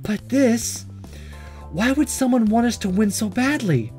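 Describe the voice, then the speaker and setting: A let's player voicing an anxious, defensive high school girl from a visual novel, halting and insistent, over game music. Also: expressive, a little mellow, close to an everyday voice. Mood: flustered.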